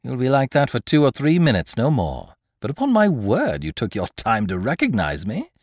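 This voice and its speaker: A suave gentleman thief with a mocking, patronizing swagger, as a male narrator puts him on. His lines swing widely in pitch and come across loud and bold. The sound is close and dry. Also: huge pitch swings, moderate pace, no room sound. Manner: jaunty, patronizing, theatrical.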